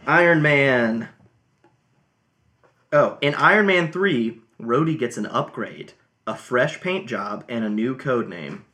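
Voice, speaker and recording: A man's voice: medium pitch, expressive, slow pace, bright, forceful tone, echoey room. A man in casual podcast game chat, joking around and slipping into silly voices.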